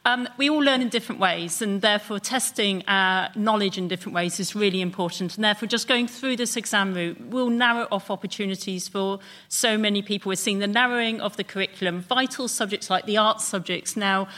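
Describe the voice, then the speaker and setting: A woman's voice: medium pitch, a little fast, hardly any pauses, sharp tone. A woman giving an earnest, campaigning political speech as a debate panellist. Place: radio theatre.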